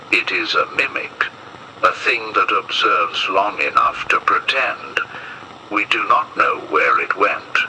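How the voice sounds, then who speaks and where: A man reading a scripted emergency bulletin as horror narration, in a solemn, measured and ominous manner. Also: low-pitched, bright, forceful tone, slight background noise, low-quality recording.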